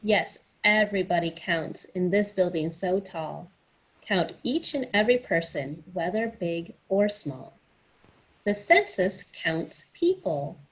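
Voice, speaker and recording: A woman's voice: on the low side, expressive, slow, husky, poor audio. A woman hosting a children's story time, reading aloud and counting along in an encouraging, sing-song way. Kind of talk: picture book read-aloud for children.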